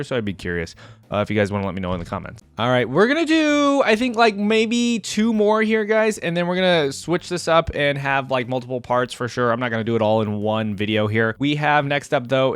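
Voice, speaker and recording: Animated man reacting, casual and rambling. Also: medium pitch, clear, resonant tone, no room sound. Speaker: reaction video host. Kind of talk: casual live reaction commentary. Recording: trailer music behind the voice.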